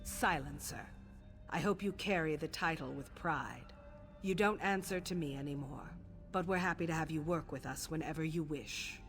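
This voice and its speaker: A woman's voice: deep voice, normal speed, music in the background. An actress playing a fierce, proud commander of assassins in a fantasy video game, declaiming her lines with authority.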